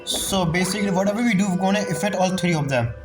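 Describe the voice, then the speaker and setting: Female gamer, casual commentary, thinking out loud, repetitive phrasing, faint music behind. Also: lowish voice, fast. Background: game music.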